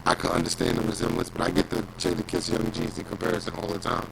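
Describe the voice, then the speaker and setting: A man from New York with a raspy voice and a New York accent, a hip hop artist talking in a laid-back, confident way on a radio interview. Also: low voice, big pitch swings, fast talker, slight background noise, poor audio. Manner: laid-back, confident, lots of "you know what I'm saying".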